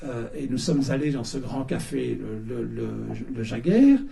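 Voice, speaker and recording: An older man giving a talk at a book launch in a bookshop, hesitant, repeating words and pausing with many fillers, recorded from a distance. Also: mid-range voice, lively intonation, gravelly, dark tone. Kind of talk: halting talk to a small audience. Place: bookshop, indoors. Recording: distant mic in a room.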